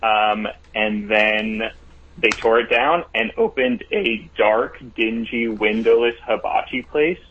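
A man's voice: on the low side, slow, slight background noise. A man calling into a radio show who tells a rambling story full of fillers. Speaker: caller. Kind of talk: phoned-in story. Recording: thin phone line.

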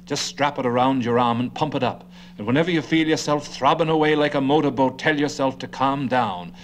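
A man's voice: mid-range voice, a little fast, slight background noise, close to an everyday voice. An actor playing a fussy, condescending older man who chatters and lectures endlessly. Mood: lecturing. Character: fussy know-it-all older man, gabby.

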